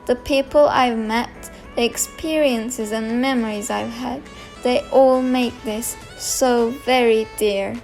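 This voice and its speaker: Brief: woman, slightly high voice, measured reflective voice-over, soft music under. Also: steady tone, vocal fry, rough recording. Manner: heartfelt, measured. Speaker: volunteer narrator.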